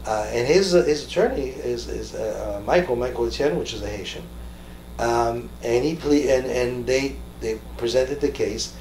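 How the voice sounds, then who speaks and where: A man hosting an interview in a conversational way, haltingly, repeating words as he searches for his phrases. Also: medium pitch, darkish tone. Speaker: talk show host interviewing a guest. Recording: roomy echo with faint background noise.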